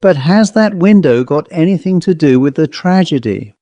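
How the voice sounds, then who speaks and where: A man voicing a nervous, stiffly polite gentleman visitor in a storybook, speaking hesitantly. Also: a little high, animated, moderate pace, dry recording, pitched above the performer's own voice, natural voice.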